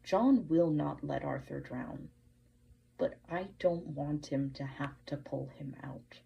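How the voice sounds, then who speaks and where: A woman giving prepared commentary as a podcast listener, measured and deliberate, posing pointed questions. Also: low-pitched, creaky, highs cut off, slight background noise. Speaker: listener sending in a voice message.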